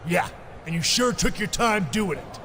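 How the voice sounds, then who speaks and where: A man's voice: high-pitched, animated, moderate pace, bright, forceful tone, long reverb tail, a little hiss or hum. A man voicing an irritated wrestling champion who complains in an indignant way.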